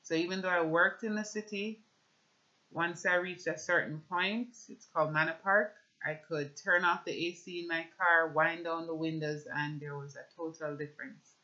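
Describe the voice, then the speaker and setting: A woman in her thirties with a Jamaican accent gives an earnest, measured religious testimony at home, talking to camera. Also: sits low, steady tone, bright, forceful tone, lo-fi sound. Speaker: speaker giving a personal religious testimony. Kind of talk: personal testimony with scripture reading, talking to camera. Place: small indoor room at home with shelves.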